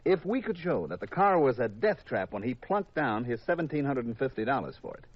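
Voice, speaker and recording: A man's voice: medium pitch, sing-song, a little fast, no echo. An actor playing a shrewd, cynical insurance company man, businesslike and emphatic, in 1950s radio drama style. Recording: dull vintage radio recording.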